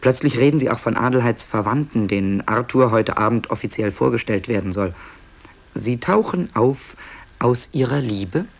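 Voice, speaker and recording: A man's voice: mid-range voice, lively intonation, normal speed, vocal fry. A man narrating a 1960s radio play as a dryly ironic, confiding author who hesitates and stammers. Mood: dryly explanatory. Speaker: narrator presenting tape recordings.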